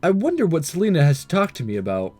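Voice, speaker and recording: A man giving playful let's-play commentary on a game, animated and stammering into asides. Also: fairly high pitch, quick pace, faint music behind. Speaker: gaming YouTuber.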